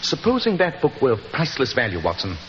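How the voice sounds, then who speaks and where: British gentleman detective, incisive, very animated delivery, long reverb, muffled old radio recording. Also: mid-range voice, fast talker, slight background noise. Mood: intent, reasoning. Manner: precise, confident, deductive. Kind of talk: 1940s radio acting.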